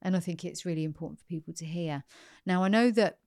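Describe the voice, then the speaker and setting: A woman hosts an interview podcast in a chatty, encouraging manner, full of small fillers. Her voice is very low for a woman, and the sound is dry and close. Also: fast talker, creaky, a little mellow, no room sound. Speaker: podcast host. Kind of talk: interview hosting.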